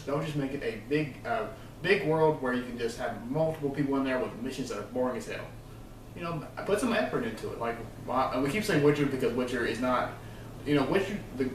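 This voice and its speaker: Man, quick pace, casual opinionated rambling, video co-host, far from the mic, slight noise. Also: mid-range voice. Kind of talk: casual unscripted gaming discussion. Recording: roomy, distant mic, faint noise.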